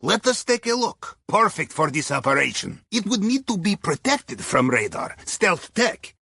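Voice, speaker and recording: An actor playing a jovial, crafty Russian submarine captain in a video game, planning heists in a wry, conspiratorial way with a raspy voice and clipped non-native phrasing. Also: male voice, high voice, big pitch swings, moderate pace, bright, forceful tone, no echo, studio quality, full character voice. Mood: businesslike, urgent. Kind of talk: video game mission dialogue.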